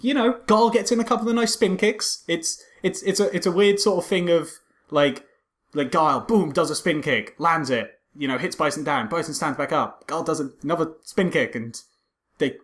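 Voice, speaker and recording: A man co-hosting a casual film commentary podcast, speaking haltingly and repeating words as he searches for phrasing. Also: a little high, fairly bright tone. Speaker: podcast co-host.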